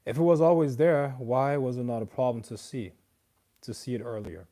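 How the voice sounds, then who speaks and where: Man, livestream host, mellow dark tone, emphatic lecturing, casual Q&A. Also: mid-range voice, normal speed. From outside America. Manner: reads questions aloud then lectures emphatically. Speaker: host answering viewer questions.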